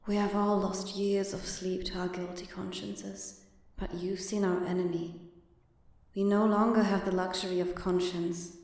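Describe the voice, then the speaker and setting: A woman voicing a grim, solemn bird commander in a comic dub, deliberate, through a heavy echo. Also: mid-range voice, flat delivery, a little mellow. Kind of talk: dramatic fan-dub comic acting. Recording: very echoey, distant-sounding.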